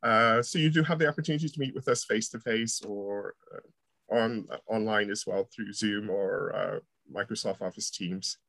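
A man's voice: lowish voice, normal speed, creaky, fairly bright tone, no echo. American man answering interview questions. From United States. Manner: hesitant, many uh fillers, friendly laughs. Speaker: university researcher guest.

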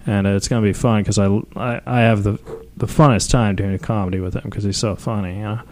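A man with a fairly deep voice chats casually as a podcast host. He rambles in a halting, self-deprecating way, like a comedian plugging along live. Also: fairly even intonation, a little mellow, slight background noise.

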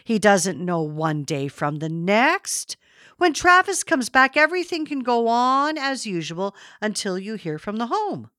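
A woman narrator voicing a brisk, dismissive, gossipy neighbor woman, assertive and matter-of-fact, in an audiobook. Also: medium pitch, very animated, sharp tone, no room sound, clean studio sound. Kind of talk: audiobook character reading.

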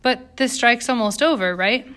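A woman's voice: on the high side, moderate pace, fairly bright tone, pitched above the performer's own voice, natural voice. A woman voicing a timid, curious, well-to-do young woman in an audiobook, hesitant and polite. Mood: unsure.

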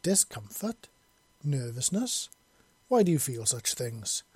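A man's voice: medium pitch, moderate pace, dark tone, husky, dry recording, close to an everyday voice. Man voicing a formal, imperious female bird creature. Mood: curious. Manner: formal, precise, commanding. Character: imperious, curious female bird-creature mistress, commanding and innocent.

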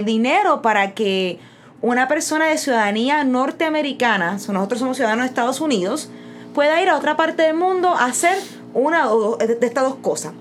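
Puerto rican woman, young, high voice, chatty podcast co-host, explains with examples. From Puerto Rico. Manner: lively, explains with examples and asides. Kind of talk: casual explanatory podcast chat.

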